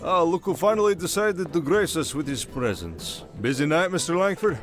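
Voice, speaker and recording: Over clear background music, a man plays a rich gambling buddy with a slightly high voice. He is jovial and slangy, joshing his pals in a chummy way, in audio drama style. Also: lively intonation, moderate pace, natural voice. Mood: wry, chummy. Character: jovial, teasing male millionaire gambling crony. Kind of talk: scripted audio roleplay acting. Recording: loud music under the voice.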